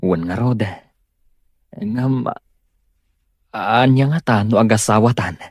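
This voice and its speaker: Man acting a husky, sincere young suitor. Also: on the low side, slow pace, no room sound, clean studio sound. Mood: earnest, determined. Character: sincere, determined young suitor of modest means. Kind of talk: Ilocano audio drama acting.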